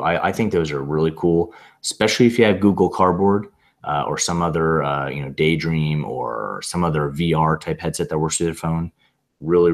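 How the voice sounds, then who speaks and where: Very deep-voiced man, hoarse after laryngitis. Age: adult with a young son. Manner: rambling, with many fillers and restarts. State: recovering from laryngitis. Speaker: presenter demonstrating software. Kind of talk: casual screen-share tutorial.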